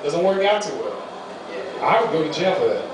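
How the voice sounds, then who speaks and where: A man's voice: a little high, very animated, brisk, crowd noise. A young man doing a loose, rambling stand-up comedy set at a small venue. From United States. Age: young adult. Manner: loose rambling delivery with fillers. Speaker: amateur stand-up comic. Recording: echoey room, mic far off.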